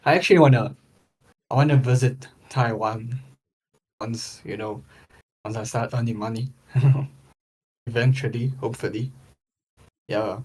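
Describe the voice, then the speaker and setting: A man's voice: medium pitch, fairly even intonation, measured pace, pauses often, darkish tone. A friendly man in a casual chat, curious and asking many questions.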